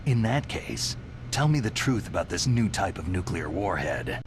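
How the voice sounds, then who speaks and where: An actor playing a cynical, battle-hardened soldier in a video game, gravelly and terse, with a suspicious, sardonic edge. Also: male voice, low-pitched, sing-song, normal speed, noisy background.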